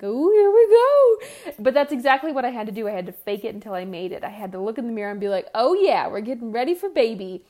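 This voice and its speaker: A woman's voice: medium pitch, darkish tone. A woman in her thirties hosting a solo podcast, answering listener questions in a candid, chatty way with frequent restarts and self-deprecating asides. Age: 30s. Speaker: podcast host.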